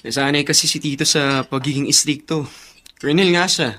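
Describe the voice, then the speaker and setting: Bright, high-voiced meek youth. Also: male voice, fairly even intonation, brisk, a little hiss or hum. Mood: resigned, obedient. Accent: Filipino. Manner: earnest, deferential. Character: young orphaned male cousin, meek and obedient. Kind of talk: Filipino radio drama acting.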